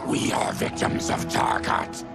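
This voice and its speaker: An actor playing a grim, disfigured mutant colony leader in a video game, growling solemnly and formally with menace. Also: male voice, unhurried, lightly acted. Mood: grim, wary. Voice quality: gravelly growl. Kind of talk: video game cutscene acting. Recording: loud music behind the voice.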